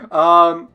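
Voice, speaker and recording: A man's voice: fairly high pitch, dull audio. A young man chatting casually as a podcast guest, joking and giggly as he draws. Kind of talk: casual chat while drawing.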